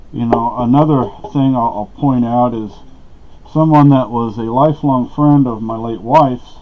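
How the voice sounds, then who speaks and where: A middle-aged man from Texas talking casually to the camera at home, meandering with many fillers and restarts. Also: medium pitch. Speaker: vlogger. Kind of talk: rambling casual talk to camera. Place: small dark room at home. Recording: dull lo-fi camera audio.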